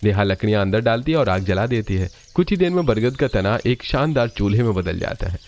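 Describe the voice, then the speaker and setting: Steady low male story narrator. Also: fairly quick.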